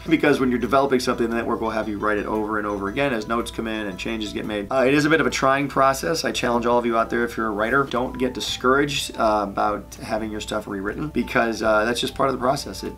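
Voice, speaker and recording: A man's voice: mid-range voice, quick pace, few pauses, fairly bright tone. A man talking casually and enthusiastically, like an animation creator telling work stories on his vlog. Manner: enthusiastic, chatty. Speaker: animation creator and video host.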